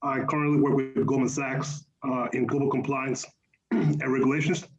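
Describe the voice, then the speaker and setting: A man's voice: medium pitch, flat delivery, moderate pace, lo-fi sound. A man introducing himself on an alumni panel in a brief, businesslike way.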